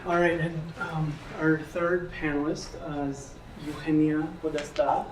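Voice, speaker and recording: A man's voice: on the high side, measured pace. A man moderating a panel, reading out a speaker's introduction with fillers. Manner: even, flat delivery with fillers. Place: lecture room. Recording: echoey room, distant mic, faint hiss.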